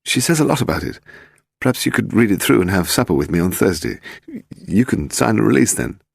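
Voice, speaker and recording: Man voicing an anxious, fussy publisher, creaky edge. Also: on the low side, sing-song, a little fast, no echo, studio quality, voice pushed up, full character voice. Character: anxious, hurried male publisher, fussy and evasive.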